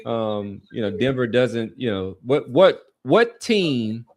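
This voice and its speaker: A man with a mid-range voice talks as a podcast host in casual conversation. He is reflective and wanders through his thoughts, often halting as he searches for the next phrase. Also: mid-range voice, big pitch swings, darkish tone. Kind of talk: casual sports and society chat.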